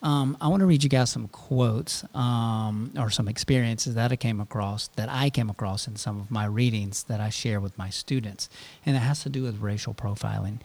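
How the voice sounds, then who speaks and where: A man's voice: on the low side, normal speed, few pauses, a little mellow. A man who teaches Spanish, moderating a panel discussion, breaking in with questions and reading quotes aloud.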